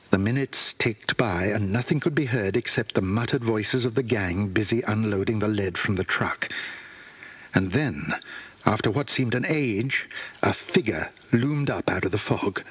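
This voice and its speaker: Slightly husky male storyteller. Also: lowish voice, fairly bright tone. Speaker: narrator. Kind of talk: scripted children's story narration.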